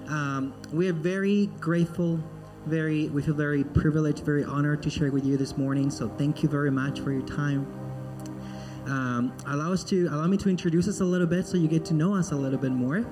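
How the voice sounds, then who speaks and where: A man's voice: a little high, a little fast, music in the background. A man from Peru, a missionary speaking in church in a friendly way and leading prayer. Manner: friendly and joking, then earnest in prayer. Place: church sanctuary.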